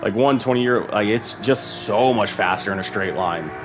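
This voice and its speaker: A man's voice: fairly low pitch, moderate pace, rough recording. Guy casually calling an online sim race, lots of likes and ums, music going under him. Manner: casual, chatty, rambling with frequent fillers. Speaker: sim racing commentator. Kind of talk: live esports race commentary.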